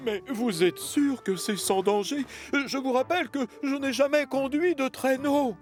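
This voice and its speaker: Un comédien jouant un gros chef des chemins de fer, poli mais vite dépassé, dans un dessin animé. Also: male voice, very high-pitched, normal speed, faint music behind. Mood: polite. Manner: polite, flustered. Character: stout railway boss, polite but anxious.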